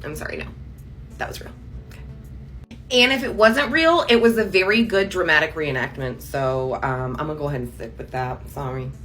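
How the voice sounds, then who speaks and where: A young woman hosting a casual reaction commentary, sarcastic and quick with asides. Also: low-pitched, fairly bright tone, rough recording. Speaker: commentary channel host.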